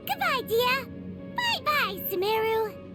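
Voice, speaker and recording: A woman voicing a tiny, excitable childlike fairy companion in a video game, with a squeaky voice and an exclaiming manner. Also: takes it slowly, heavily acted. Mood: gentle. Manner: refers to self in third person, exclaiming. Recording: background music throughout.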